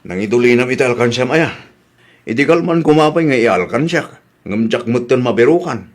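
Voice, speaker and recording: A man's voice: mid-range voice, lively intonation, brisk, lightly acted. A man acting an easygoing husband who questions his wife in an Ilocano radio comedy drama. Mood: questioning.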